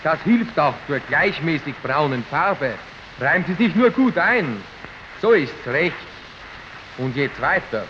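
A man's voice: fairly high pitch, expressive, a little slow. A man acting a friendly character in an old radio advertisement, talking in a chatty, coaxing way. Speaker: ad character advising on sunscreen. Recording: dull 1930s archive radio recording with faint noise.

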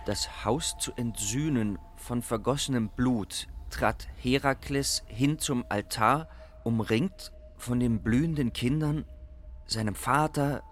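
An actor playing a horrified, pleading messenger and chorus narrator, declaiming urgently in an audio drama. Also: male voice, medium pitch, slow, a little hiss or hum, close to an everyday voice. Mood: grave, tense.

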